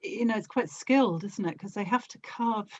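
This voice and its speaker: A woman's voice: medium pitch, normal speed, a little mellow, no echo, dull audio. A middle-aged woman, chatting casually as an interview guest on a podcast. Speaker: podcast guest, audio drama actor and producer.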